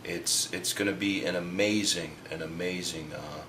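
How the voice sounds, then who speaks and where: Deep-voiced man in an interview. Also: steady tone, normal speed. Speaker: comic book artist. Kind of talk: documentary interview answers. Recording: faint background noise.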